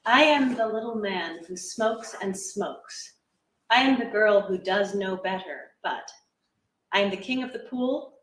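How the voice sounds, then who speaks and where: A woman's voice: mid-range voice, unhurried, slight background noise. Woman reciting poetry in an echoey room. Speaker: actor giving poetry readings. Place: large indoor library room at a live event. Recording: echoey room, slightly distant mic.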